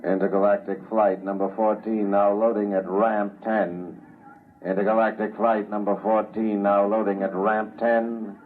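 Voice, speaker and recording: An actor playing an impersonal spaceport boarding announcer, official and monotone, in a 1950s radio drama. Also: male voice, on the low side, measured pace, lightly acted. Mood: flat, official. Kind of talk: public address announcement, 1950s radio acting. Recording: phone-like loudspeaker sound, some noise.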